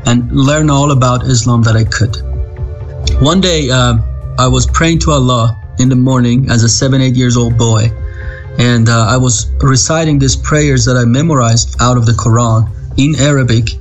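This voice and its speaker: A man's voice: medium pitch, fairly even intonation, faint music behind. Iranian man in his thirties, earnest testimony. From Iran. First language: Farsi. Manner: earnest, halting, with frequent fillers and restarts. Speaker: interviewee telling his life story. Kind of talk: personal testimony. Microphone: video call.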